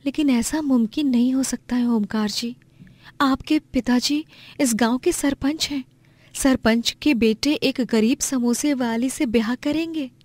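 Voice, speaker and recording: A meek young village woman of humble means voiced by a woman in a cartoon morality story. She sounds high, timid and deferential. The recording is close and dry. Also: measured pace, a little mellow, no room sound. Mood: sad, resigned.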